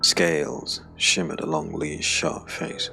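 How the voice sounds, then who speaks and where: Very deep, gravelly male narrator. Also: monotone, slow pace. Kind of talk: dramatic audiobook narration, dark fantasy. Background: background music.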